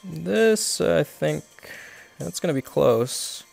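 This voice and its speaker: A man's voice: fairly high pitch, animated, slow, creaky, darkish tone, soft background music. A man streaming a mobile golf game, explaining each of his shots step by step with many fillers while congested and coughing now and then. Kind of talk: live gameplay commentary.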